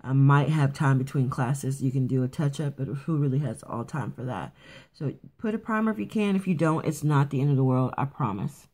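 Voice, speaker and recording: Raspy-voiced woman chatting through a makeup tutorial. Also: extremely low pitch, lively intonation, fairly quick, warm tone. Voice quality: raspy in the morning. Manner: chatty, rambling, many fillers and restarts. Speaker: beauty vlogger. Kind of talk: casual makeup tutorial chat. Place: at home getting ready for work.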